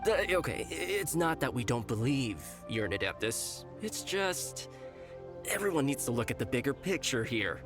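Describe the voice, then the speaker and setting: A man's voice: mid-range voice, big pitch swings, normal speed, close to an everyday voice. A man voicing an anxious male petty criminal in a game, pleading and hesitant. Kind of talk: game voice acting. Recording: game audio with loud music.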